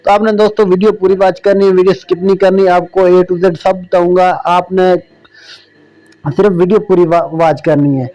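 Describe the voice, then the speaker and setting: A man's voice: high voice, warm tone, low-quality recording. A man narrating an informal app tutorial as the host of a video.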